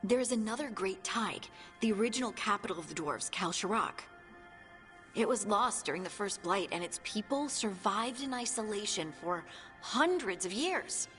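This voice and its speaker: An actress plays an earnest dwarf woman scout in a fantasy game. Her inquisitive voice sits at a middle pitch, with music underneath. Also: animated, normal speed, fairly bright tone, natural voice. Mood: thoughtful, earnest. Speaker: voice actor. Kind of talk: video game cutscene acting.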